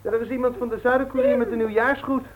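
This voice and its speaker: High-voiced cheeky young student, tinny radio. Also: male voice, flat delivery, quick pace, background noise. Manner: cheeky, jocular. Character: cocky, playful student son, eager and curious. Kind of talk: 1960s Dutch radio play acting. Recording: narrow, tinny 1960s radio recording.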